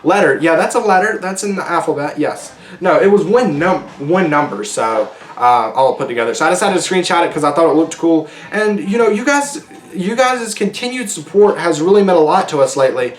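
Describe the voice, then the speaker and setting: A man hosting his own casual solo podcast, rambling and chatty with lots of ums and restarts, his voice going hoarse. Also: on the high side, expressive, a little fast, roomy sound. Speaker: podcast host.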